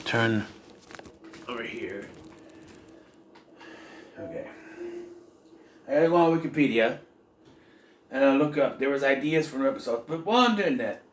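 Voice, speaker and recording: A man giving a casual, rambling, improvised recap as a reviewer of an animated series. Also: mid-range voice, normal speed, long pauses. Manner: loose, improvised, acting out quoted bits. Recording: faint background noise.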